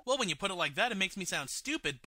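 A man playing a bright, goofy, clueless cop. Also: sits high, very animated, fast, heavily acted. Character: dim-witted adult male police detective, goofy.

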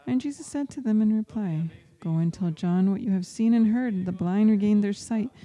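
A woman interprets a homily aloud, phrase by phrase. Her voice is very dark and mellow, at a middling pitch. The delivery halts between short phrases as she translates live. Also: moderate pace, low-quality recording. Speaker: interpreter voicing a priest's homily in English. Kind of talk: live spoken translation of a sermon.

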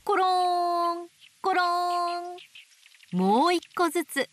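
A woman reading picture books aloud to babies in a gentle, sing-song way, dwelling on playful sound words. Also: very high voice, slow, a little hiss or hum. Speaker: storybook narrator voicing an owl. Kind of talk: children's picture book read-aloud.

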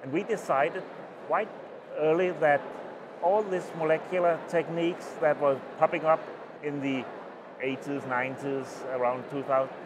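A middle-aged Danish man with a Danish accent, giving an oral history interview at a conference and talking in halting phrases with many restarts. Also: a little high, fairly even intonation, noisy background. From Denmark. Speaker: interviewee, mycologist. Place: small booth at a conference, indoors. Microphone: lapel mic.

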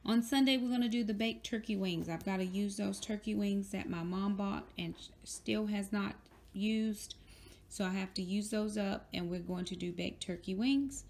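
A woman's voice: medium pitch, normal speed, creaky, darkish tone, a little hiss or hum. A woman, chatting casually as she narrates a home video, with fillers and self-corrections. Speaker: vlogger. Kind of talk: casual grocery haul narration. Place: home kitchen.

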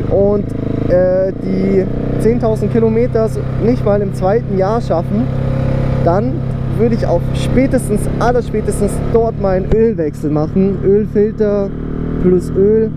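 Young man riding, loud wind and engine. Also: high-pitched. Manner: casual, slangy, rambling with fillers. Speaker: motovlogger. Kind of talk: casual motovlog chat while riding. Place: outdoors on a motorcycle on country roads.

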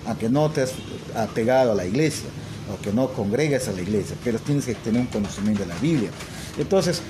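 A man talks casually into a phone held at arm's length in a small room. He teaches languages and hesitates a lot, filling pauses and tagging questions onto his sentences. A steady background hiss runs under the recording. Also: mid-range voice. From Peru. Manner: hesitant, many fillers and tag questions. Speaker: English teacher and language school owner. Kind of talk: casual selfie video talk.

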